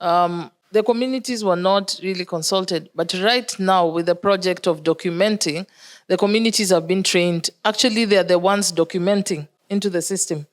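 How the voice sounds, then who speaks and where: A Kenyan woman speaking as a conference panelist, explaining in a measured way with some pauses and fillers. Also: lowish voice. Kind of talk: panel discussion.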